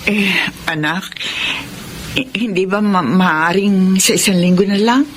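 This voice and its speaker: A woman plays a soft-hearted Filipino mother in a radio drama. She sounds hesitant, anxious and imploring at a middling pitch, with clear noise behind her. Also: measured pace, close to an everyday voice. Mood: pleading, giving in. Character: meek, doting, anxious middle-aged poor mother. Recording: noisy radio drama recording.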